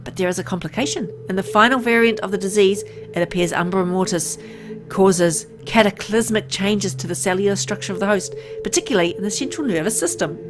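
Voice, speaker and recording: A woman's voice: medium pitch, a little fast, close to an everyday voice. Woman acting earnest medical insider over music. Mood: grave, explanatory. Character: secretive, frank medical insider explaining a dread disease.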